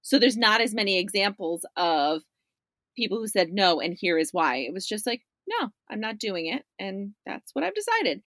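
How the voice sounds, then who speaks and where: Female journalist guest, medium-pitched voice, dry recording, casual conversational answers. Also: big pitch swings, normal speed, a bit bright, no echo. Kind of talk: casual interview chat.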